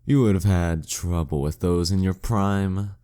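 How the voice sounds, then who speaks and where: A man performs a dominant demon king in a flirty audio drama. His voice is very deep and dark, with a mellow softness. He speaks slowly and condescendingly, teasing the listener with courtly, seductive menace. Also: steady tone, clear, resonant tone. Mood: gloating, mocking. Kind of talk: flirty audio roleplay monologue addressed to the listener.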